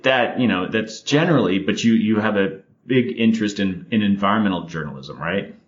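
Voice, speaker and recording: A man's voice: on the low side, a little fast, highs cut off. A man hosting a podcast interview, upbeat and chatty, often saying "all right" between questions. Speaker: podcast host. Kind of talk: casual interview chat.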